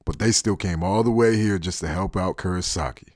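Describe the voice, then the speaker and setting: Man playing a deep-voiced, cool outlaw. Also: a little fast, a little mellow, breathy edge, no room sound, clean studio sound. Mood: cool explaining. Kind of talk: anime fan dub acting.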